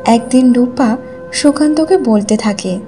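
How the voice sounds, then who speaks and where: A woman's voice: on the high side, unhurried, dark tone, faint music behind. A woman narrating a scripted children's folk tale as a storyteller.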